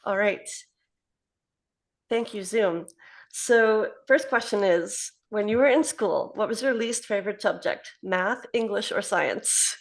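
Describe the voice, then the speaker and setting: A woman in her forties interviewing a guest over a video call, friendly and laughing often as she asks rapid-fire questions. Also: mid-range voice, creaky. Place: home office with piano. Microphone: laptop webcam on video call.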